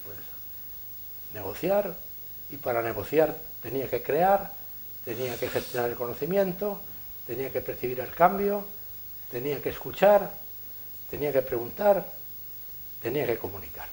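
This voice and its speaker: A man with a Spanish accent from Spain giving a conference lecture, telling lively anecdotes and chuckling at his own stories. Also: mid-range voice, moderate pace, pauses often, poor audio. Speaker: engineer giving a conference talk. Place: lecture hall.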